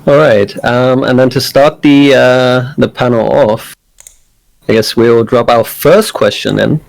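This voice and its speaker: A man from South Africa hosting a livestream panel, with a gravelly edge to his mid-pitched voice. He keeps things casual and upbeat, often pausing on fillers. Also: low-quality recording. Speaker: streamer, panel host.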